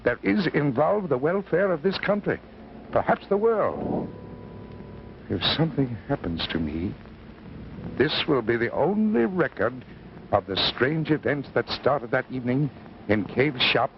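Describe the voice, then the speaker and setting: An actor playing a proud, troubled physics professor giving formal, grave narration in a 1950s drama, on an old broadcast recording. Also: male voice, mid-range voice, very animated, unhurried, vocal fry, muffled recording, noisy background. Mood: grave, urgent.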